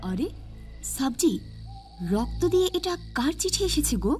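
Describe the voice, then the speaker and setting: A woman voicing a busy, excitable male village postman with a hurried, exclaiming manner in an animated story. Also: high-pitched, soft background music. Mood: alarmed, curious.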